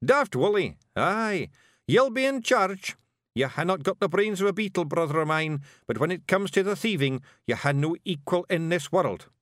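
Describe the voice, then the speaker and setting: A man voicing a boastful little male fairy clan leader with a broad Scottish accent, blustering and quick to snap. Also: a little high, a bit bright, no room sound, clean studio sound, voice pushed up. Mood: commanding.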